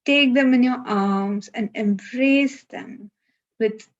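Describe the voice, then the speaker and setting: Woman, meditation instructor, slow unhurried delivery, gentle guidance, long pauses, muffled online-call sound. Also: fairly high pitch. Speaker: meditation guide. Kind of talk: live guided meditation. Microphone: video call.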